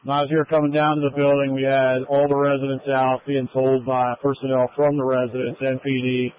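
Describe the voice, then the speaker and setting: A man's voice: mid-range voice, completely flat delivery, brisk, smooth. A man acting as fire incident commander, speaking in a matter-of-fact, procedural way over a two-way radio. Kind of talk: emergency radio traffic. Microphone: radio handset. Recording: tinny narrowband fire radio transmission.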